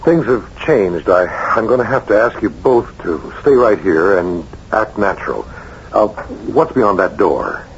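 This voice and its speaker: A small-town police chief in a vintage radio drama, courteous yet firm. He is businesslike and a little halting, with animated pitch, on a dull recording. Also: slight background noise. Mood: urgent, controlled. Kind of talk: old-time radio drama acting. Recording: dull old radio broadcast.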